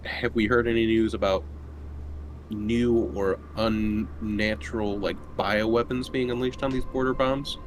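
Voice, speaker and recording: A man's voice: fairly low pitch, steady tone, unhurried. A man chatting casually and informally as a player in a tabletop roleplaying game. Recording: clearly noisy background.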